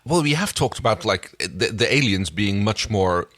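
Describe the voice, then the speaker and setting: A man's voice: on the low side, lively intonation, normal speed, fairly bright tone. A man co-hosting a podcast, talking through a TV episode in casual, conversational fashion. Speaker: podcast co-host. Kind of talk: casual TV episode recap chat.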